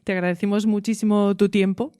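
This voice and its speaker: A woman's voice: medium pitch, level tone, a little fast, mellow, clear, resonant tone, dry recording, studio quality. A woman with a Spanish accent from Spain, speaking casually as an interviewer. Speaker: interviewer, teacher.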